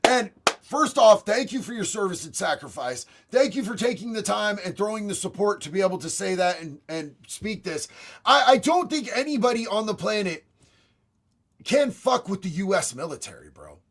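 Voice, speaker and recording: A raspy young man talks off the cuff on his livestream, blunt and profane, tossing out bro and homie. His voice sits high for a man. He answers chat messages one after another. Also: big pitch swings, normal speed, fairly bright tone. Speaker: livestream host reading chat.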